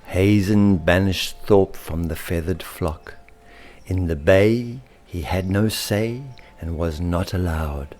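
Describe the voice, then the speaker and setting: A man narrating a rhyming children's story with theatrical, deliberate emphasis. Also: deep voice, darkish tone. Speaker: author and storyteller.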